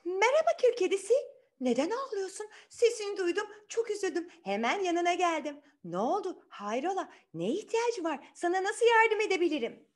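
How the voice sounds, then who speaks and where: A woman's voice: very high voice, very animated, normal speed, soft background music, pitched above the performer's own voice. A woman voicing a kind, caring fairy godmother in a children's tale, soothing and solicitous. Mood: kind, concerned.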